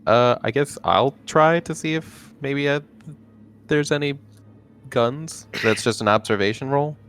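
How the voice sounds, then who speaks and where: Joking man at a tabletop game, medium pitch. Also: unhurried, a bit bright. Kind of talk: casual chat during a game.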